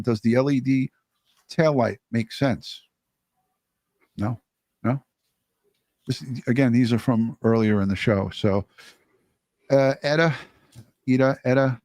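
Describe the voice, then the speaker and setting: A man's voice: medium pitch, a little mellow, no room sound. A man co-hosting a livestream, reading out viewer messages and super chats in a casual, blunt way and swearing freely. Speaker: livestream co-host.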